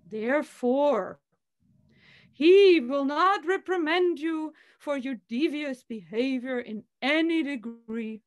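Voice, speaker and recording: A woman playing a solemn, forgiving rabbi in an amateur play reading over a video call, with deliberate, declamatory delivery. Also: sits high.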